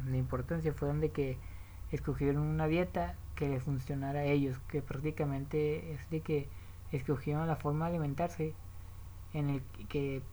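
A woman's voice: low-pitched, warm tone, slight background noise, poor audio. A woman with a Mexican accent explaining diet habits in a casual, rambling way, often restarting and correcting herself.

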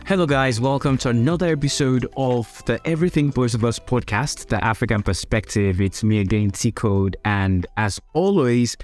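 A man's voice: mid-range voice, moderate pace, hardly any pauses, soft background music. A man with a Nigerian accent hosting an interview podcast, introducing and questioning his guest. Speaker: podcast host.

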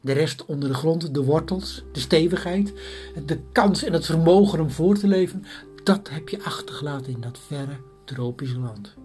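A woman's voice: deep voice, expressive, normal speed, mellow, soft background music. A woman playing a haughty bird princess. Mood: lecturing.

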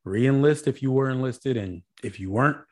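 A man's voice: medium pitch, normal speed. Joking, blunt podcast co-host, a man. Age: 30s. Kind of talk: casual podcast chat.

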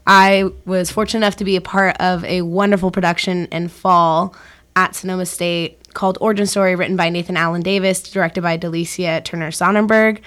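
Female guest, twenties, American, slightly low-pitched, casual conversational delivery in a studio interview. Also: fairly even intonation, a little fast, a bit bright. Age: 20s. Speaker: guest, young actor. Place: radio studio.

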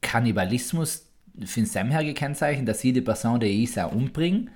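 A man banters as a podcast co-host. His voice sits at a medium pitch, and his delivery is playful and colloquial, with an easy conversational feel. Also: lively intonation, moderate pace, darkish tone. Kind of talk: casual banter.

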